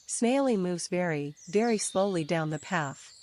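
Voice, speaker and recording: Lowish-voiced woman narrating a bedtime story. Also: expressive, a little slow, darkish tone, slight background noise. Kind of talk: scripted children's story narration. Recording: faint birdsong and nature ambience. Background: birdsong, crickets, forest ambience.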